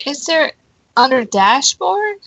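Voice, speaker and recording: A woman's voice: high voice, very animated, slow pace, vocal fry, bright, forceful tone, dry recording. A woman chatting casually as a livestream guest, with brief, laid-back remarks. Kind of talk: casual chat. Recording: dull lo-fi livestream audio.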